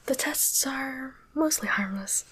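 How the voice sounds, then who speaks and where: A woman playing a softly teasing, curious demon doctor in a coaxing, deliberate whisper for an ASMR roleplay, close to the microphone. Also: high voice, slight background noise, full character voice.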